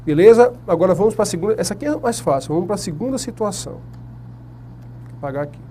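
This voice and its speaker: Man, Brazilian accent, whiteboard lesson, high mellow voice, asks and answers his own questions. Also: fairly quick, a little hiss or hum. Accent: Brazilian Portuguese. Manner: lively, asks the class questions and answers them himself. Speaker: teacher. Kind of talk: exam-prep lecture at a whiteboard.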